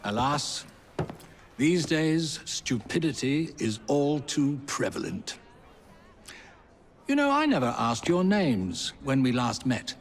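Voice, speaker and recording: An actor playing a genteel Victorian gentleman in a video game, speaking in florid phrases with dramatic pauses. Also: medium pitch, very animated, a little hiss or hum. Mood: wry, courteous. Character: genteel, theatrical Victorian gentleman, a self-declared skeptical ghost investigator. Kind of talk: video game cutscene acting.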